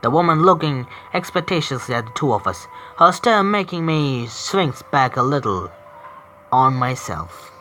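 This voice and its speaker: A man streaming a visual novel game, reading the narration aloud haltingly, stumbling over words and correcting himself. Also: medium pitch, sing-song, bright, forceful tone, a little hiss or hum.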